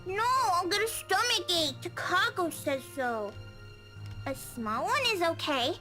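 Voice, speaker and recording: A woman's voice: extremely high pitch, lively intonation, unhurried, over music. A woman voicing a chatty, earnest little schoolgirl in a visual novel game. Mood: cheerful.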